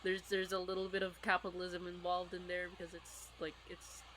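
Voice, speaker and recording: A woman's voice: on the low side, monotone, fairly quick, a bit bright, a little hiss or hum, low-quality recording. A woman in a casual, rambling fan discussion, talking as a podcast co-host.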